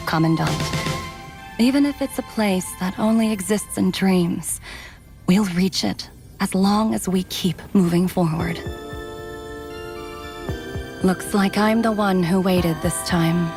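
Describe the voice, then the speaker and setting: Devoted cyborg centaur warrior, loud music behind. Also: female voice, mid-range voice. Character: devoted, steady female cyborg centaur warrior, playfully eager. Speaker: voice actor in a video game dub. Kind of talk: scripted game character voicelines. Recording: studio voice under loud music.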